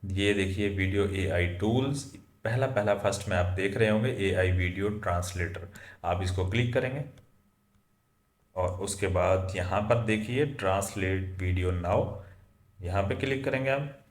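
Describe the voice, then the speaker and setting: A man hosting a software tutorial, explaining step by step in an instructive way and often checking that listeners follow. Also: deep voice, normal speed. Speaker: tutorial host. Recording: echoey room, slightly muffled.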